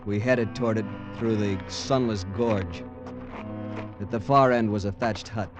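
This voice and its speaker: Skeptical, hard-bitten serviceman-narrator, played by a man: low register, terse, vintage radio acting. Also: fairly even intonation, unhurried, music in the background, natural voice. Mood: grim narrating. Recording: old-time radio broadcast recording.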